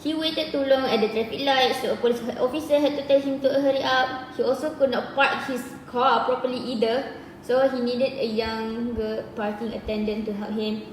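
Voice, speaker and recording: A woman's voice: fairly high pitch, fairly even intonation, brisk. A young adult woman with a Malaysian accent, presenting informally in a group discussion as a student. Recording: echoey hall, distant mic, faint noise.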